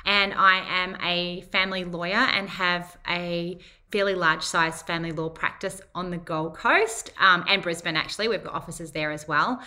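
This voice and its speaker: Bright-voiced middle-aged New woman. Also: lowish voice, fairly even intonation, moderate pace, few pauses. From New Zealand. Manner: matter-of-fact, friendly self-introduction. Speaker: guest, lawyer and business owner. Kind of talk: interview answers.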